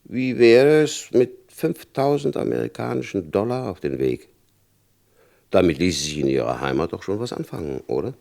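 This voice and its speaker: An actor playing a hard, shrewd FBI chief in a German radio crime drama, condescending and insinuating, with a gravelly voice. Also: male voice, on the low side, animated, moderate pace, a little mellow. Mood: insinuating pressure.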